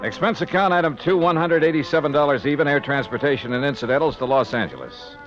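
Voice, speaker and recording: Actor playing a wry 1950s radio detective, lively swooping delivery. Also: male voice, on the high side, fast talker, lightly acted. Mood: brisk narrating. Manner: wry, punchy, clipped narration. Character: wry, breezy hardboiled male insurance investigator. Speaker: lead actor and first-person narrator. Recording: dull vintage radio recording, music behind.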